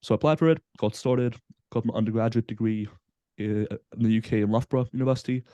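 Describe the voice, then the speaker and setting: A man in his twenties from Belgium, a guest on a casual podcast interview, explaining in a relaxed way with frequent fillers. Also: medium pitch, fast, dry recording, dull audio. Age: 20s. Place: Southampton, UK.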